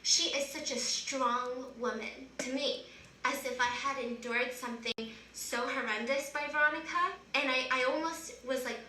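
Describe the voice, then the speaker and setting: A young woman in her twenties speaks in a high, bright, loud voice, telling her story earnestly and confessionally. The sound is roomy and a little distant, with faint noise underneath. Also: fairly even intonation, moderate pace. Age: 20s.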